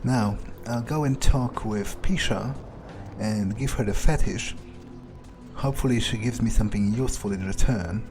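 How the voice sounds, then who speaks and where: A man's voice: fairly low pitch, normal speed, music in the background. A man doing in-character roleplay commentary on a video game, musing and thinking aloud. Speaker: gameplay video host.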